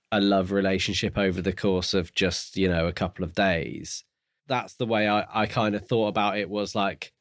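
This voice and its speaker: A man's voice: fairly low pitch, no room sound, clean studio sound. A man co-hosting a podcast, musing and thinking aloud in a casual discussion of books. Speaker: podcast co-host.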